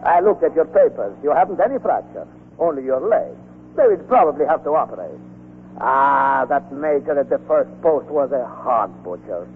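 An actor playing an effusive, teasing Italian army officer in a wordy, affectionate manner, in 1940s radio drama style. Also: male voice, mid-range voice, animated, moderate pace, lightly acted. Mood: cheerful, fussing. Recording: muffled, noisy 1940s radio broadcast recording.

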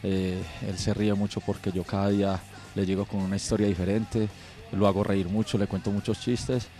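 A footballer talking cheerfully in front of a press backdrop. His voice is low, warm and level, with faint guitar music behind. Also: flat delivery, moderate pace. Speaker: teammate, footballer. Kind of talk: interview answers.

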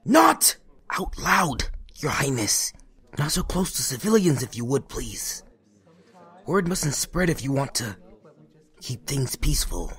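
A man's voice: mid-range voice, big pitch swings, takes it slowly, long pauses, fairly bright tone, breathy edge, full character voice. A man playing a stern, exasperated military officer in a comic dub. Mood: anxious, deferential.

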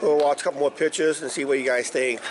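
A middle-aged man hosts a casual vlog in a slightly hoarse, fairly high voice. He rambles along with frequent hesitant tags. He is filming himself outdoors at arm's length, with clear ambient noise around him. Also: steady tone, quick pace. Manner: rambling, repeats "uh, basically". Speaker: vlog host. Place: outdoors, roadside and ballpark. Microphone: handheld camera at arm's length.

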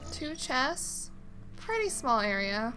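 Higher-voiced woman doing gaming commentary. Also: very animated, takes it slowly, pushed and bright. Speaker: gaming video host. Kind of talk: casual playthrough commentary, reading mission text aloud. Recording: game music behind the voice. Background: video game music.

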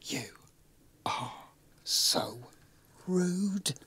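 A sulky, wounded radio soap actor. He speaks slowly, with lively pitch movement and a hurt, measured manner in a comedy. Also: breathy edge, whispered, exaggerated voice. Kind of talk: radio drama acting in screen comedy. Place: small radio recording studio.

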